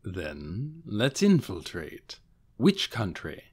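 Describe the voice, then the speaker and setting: A man voicing an awkward, cautious male overlord who bluffs as a wise ruler in an audiobook, hesitant and measured. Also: fairly low pitch, huge pitch swings, a little mellow, slightly rough, heavily acted. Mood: deliberating.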